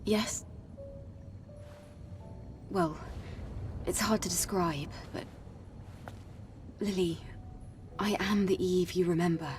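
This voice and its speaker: A woman playing an earnest, solemn young female warrior in a dramatic video game, speaking with measured resolve. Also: on the low side, fairly even intonation, darkish tone, far from the mic, faint music behind. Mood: gentle.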